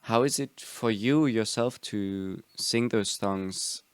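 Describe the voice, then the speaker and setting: A man interviews with a smooth, resonant voice, recorded close and dry. He speaks in a measured, attentive way, shaping his questions slowly and murmuring soft agreement. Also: on the low side, no echo. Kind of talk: interview conversation.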